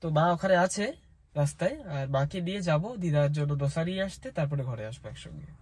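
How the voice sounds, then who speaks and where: A young man with a high voice and an Indian Bengali accent talks as a vlogger. He explains things casually, dropping in English words, over clear outdoor vehicle noise. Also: fast, a little mellow. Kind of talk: casual chatty vlog to viewers. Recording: noisy outdoor vlog with traffic hum.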